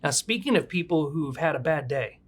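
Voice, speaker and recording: A man's voice: a little high, animated, moderate pace. A man hosting a legal podcast in casual, joking talk, retelling a case with loose asides. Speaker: podcast host, attorney.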